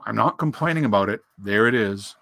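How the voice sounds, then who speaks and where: A man's voice: fairly low pitch, fairly quick, vocal fry, dry recording, voice pushed down, heavily acted. A man voicing a gloomy, self-pitying old grey donkey from a storybook, with a glum, resigned delivery. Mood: gloomy, sarcastic. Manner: glum, sighing, flat delivery.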